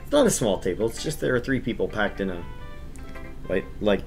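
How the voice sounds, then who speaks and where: A man's voice: lowish voice, expressive, fairly quick, vocal fry, soft background music. A man hosting a gaming stream, chatting casually and reading aloud in an informal, joking way. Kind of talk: casual chat and visual novel read-aloud.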